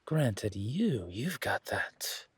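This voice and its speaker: A flamboyant older charmer, acted by a man, purrs in a husky, slightly low voice. He lingers on his words and teases like a smooth club owner in a roleplay drama. The sound is dry and close. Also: very animated, no echo, full character voice. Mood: flirtatious, amused. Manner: purring, theatrical, teasing, drawn-out words.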